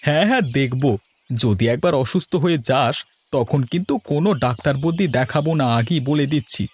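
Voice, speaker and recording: A man's voice: fairly high pitch, lively intonation, fairly quick, husky. A man playing a bossy but charitable village family man in a cartoon fairy tale. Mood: scolding.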